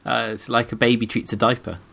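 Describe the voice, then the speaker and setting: A man's voice: flat delivery, fairly quick, creaky, fairly bright tone. A young man with a cold, co-hosting a podcast with silly, joking banter. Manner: jokey, swoops into high squeals. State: sniffly with a cold. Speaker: podcast co-host.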